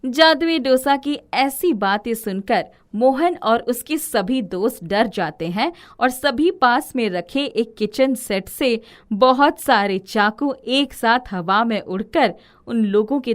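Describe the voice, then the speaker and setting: A woman's voice: on the high side, lively intonation, slow pace. A woman narrating a scripted moral story as its storyteller.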